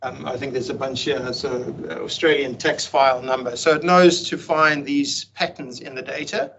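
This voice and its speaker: A man presenting a technical meetup talk over slides on a video call, explaining in a relaxed way with frequent ums and restarts. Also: medium pitch, moderate pace. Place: home room on a video call. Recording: dull lo-fi video call audio with faint hiss.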